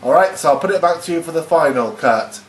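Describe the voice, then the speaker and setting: In an echoey room with faint noise, a man talks in a slightly high voice as a ham radio operator. He is hesitant and often repeats his phrases. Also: lively intonation, fast talker. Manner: hesitant, repeats phrases and spells words phonetically. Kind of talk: casual ham radio contact chat.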